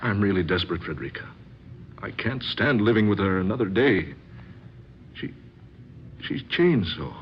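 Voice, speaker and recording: An actor playing a discontented, skeptical husband in an old-time radio drama, gentlemanly and earnest in short, clipped sentences. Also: male voice, on the low side, lively intonation, moderate pace, pauses often. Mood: desperate, confiding. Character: skeptical, discontented husband in secret love affair. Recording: muffled, noisy old radio recording.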